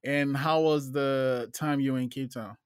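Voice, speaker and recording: A man's voice: medium pitch, fairly even intonation, unhurried, smooth, no echo. Casual male interviewer, dry sound.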